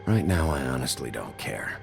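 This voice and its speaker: An actor playing a gruff, weary sheriff detective in a noir video game, with a gravelly voice and a terse, blunt manner. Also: male voice, very animated, normal speed, over music, heavily acted. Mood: grim, determined. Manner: terse, blunt, low-key. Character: gruff, weary adult male fairy-tale sheriff detective.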